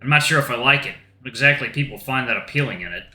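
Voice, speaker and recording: Reflective male game narrator, bright tone, echoey room, soft guitar behind. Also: mid-range voice, expressive, quick pace. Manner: reflective, subdued reading. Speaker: let's-play narrator. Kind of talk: first-person visual novel narration. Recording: roomy echo with faint guitar music.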